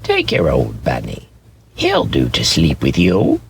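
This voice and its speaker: Male reader as a fussy nursemaid woman, grumbling, brisk, exclamatory, storybook reading, swooping pitch, background noise. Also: huge pitch swings, gravelly, full character voice. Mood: brisk, impatient.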